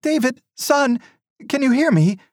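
A man putting on a desperate mother's voice, pitched far up and swinging wildly as she pleads and sobs. Slow, dry, close storybook reading. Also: sing-song, darkish tone, husky, dry recording, exaggerated voice. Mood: frantic. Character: frantic grieving middle-aged mother. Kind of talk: audiobook reading.

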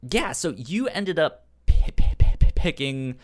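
A man chatting casually about comics as a podcast host, with a stutter that repeats sounds and syllables in a halting, animated delivery. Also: a little high, faint music behind. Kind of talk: casual comic book discussion.

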